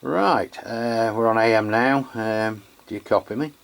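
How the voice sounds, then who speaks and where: A man's voice: on the low side, measured pace, creaky, clean tone. An English man with an English accent, chatting casually with fillers while testing a CB radio. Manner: relaxed, hesitant, many fillers. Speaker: CB radio reviewer. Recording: radio on-air test.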